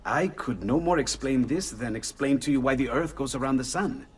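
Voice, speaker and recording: An actor playing a curious, scholarly Renaissance inventor in a video game, absorbed and full of wonder, with an Italian accent. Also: male voice, medium pitch, moderate pace, breathy edge, recorded from a distance, lightly acted. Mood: awed. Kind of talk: video game cutscene acting.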